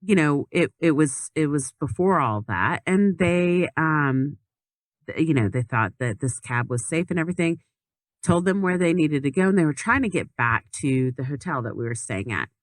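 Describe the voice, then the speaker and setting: A woman's voice: low-pitched, animated, normal speed, no echo. A woman telling a travel story as a podcast guest in casual chat, with lots of fillers and restarts.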